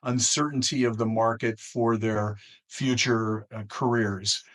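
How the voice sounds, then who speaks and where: An American man hosting an interview, speaking in a measured, deliberate conversational way. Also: lowish voice, steady tone, fairly bright tone. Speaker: interview host.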